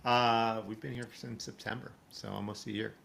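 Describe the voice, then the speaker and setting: Chatty man with a gravelly low voice. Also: flat delivery, normal speed, fairly bright tone. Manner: friendly, chatty, laughs often. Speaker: livestream host. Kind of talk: casual livestream chat. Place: home, now living in Spain.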